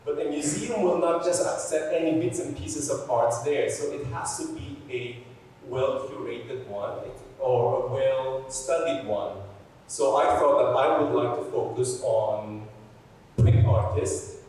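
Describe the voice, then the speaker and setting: A collector from the Philippines talks to students in a big gallery. He hesitates and restarts his sentences. He stands off-mic, so the long hall reverb and faint ambience come through clearly. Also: medium pitch, a little mellow, recorded from a distance, a little hiss or hum. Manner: halting, with restarts and "uh". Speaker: art collector, guest speaker. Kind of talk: personal talk to students. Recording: echoey hall, speaker off-mic.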